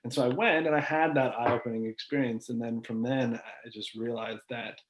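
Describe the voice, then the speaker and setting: A man hosting a casual conversational interview, relaxed and thinking aloud, often trailing off into pauses. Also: medium pitch. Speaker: podcast host interviewing a guest. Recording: echoey room.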